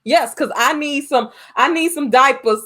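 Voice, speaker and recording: A woman's voice: sits high, unhurried, a bit bright. An American woman co-hosting a podcast in casual, opinionated talk, repeating phrases to drive her points home. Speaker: podcast co-host. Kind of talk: casual movie discussion.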